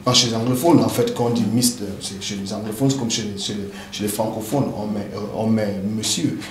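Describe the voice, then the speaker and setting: Male pastor guest, native English speaker, lowish voice, earnest and hesitant, echoey noisy room. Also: fairly even intonation, a little fast. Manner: earnest, many hesitant fillers. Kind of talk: explanatory interview answers. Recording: echoey room with background noise.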